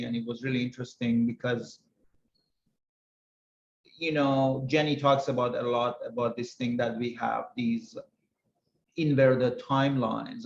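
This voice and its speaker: Slow, gravelly man on an echoey call. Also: mid-range voice, a little hiss or hum. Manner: slow, halting, reflective, trailing off. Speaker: regular group member on a video call. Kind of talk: casual chat. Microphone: video call. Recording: echoey, muffled video call audio.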